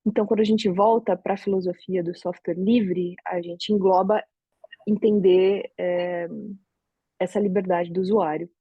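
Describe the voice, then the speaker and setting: A Brazilian woman with a Brazilian Portuguese accent speaks in a relaxed, conversational way over a video call from home, often restarting her sentences as she thinks aloud. Her voice is warm and a little breathy. The sound is dry and somewhat muffled, like lo-fi call audio. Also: on the low side, dry recording. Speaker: open source advocate giving a talk. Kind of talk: casual online talk to a community.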